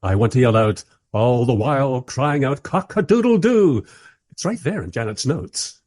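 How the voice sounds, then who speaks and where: An actor playing a polite, squeamish documentary interviewer who narrates in a hesitant, wry manner in a radio play. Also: male voice, medium pitch, animated, normal speed, a little mellow, dry recording, clean studio sound, lightly acted. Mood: dry exasperation.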